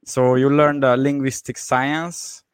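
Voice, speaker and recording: A man hosting an interview, relaxed and hesitant, saying "yeah" and "um" a lot. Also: medium pitch, slow, smooth, no room sound, studio quality. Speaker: host, interviewer. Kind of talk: casual interview.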